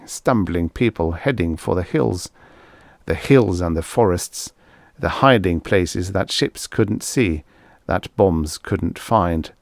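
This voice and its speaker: A man's voice: deep voice, creaky, dry recording, studio quality. A man reading a scripted story as an audiobook narrator.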